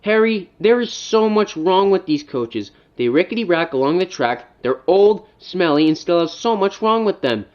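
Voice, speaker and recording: A man's voice: sits high, expressive, normal speed, hardly any pauses, lo-fi sound. Man voicing a rude, grumpy cartoon train engine. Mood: sneering. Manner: insulting, sneering. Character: rude, grumpy, boastful male tank engine.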